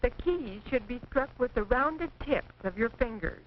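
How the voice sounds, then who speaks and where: An American woman reading scripted 1940s training film narration in a precise, measured, instructive manner. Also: on the high side, expressive. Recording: dull, noisy 1940s film soundtrack.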